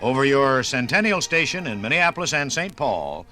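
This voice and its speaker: A man's voice: medium pitch, very animated, moderate pace, soft background music. A man announcing a station break and reading a sponsor message in upbeat 1950s radio style. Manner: bright, upbeat salesman delivery.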